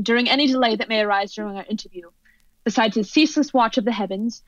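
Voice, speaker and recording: A woman's voice: a little high, no room sound, natural voice. Woman playing a formal radio commentator, dull sound. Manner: formal, measured announcer delivery. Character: poised female radio news commentator reporting on location. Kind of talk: old-time radio drama interview and reporting. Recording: dull lo-fi audio.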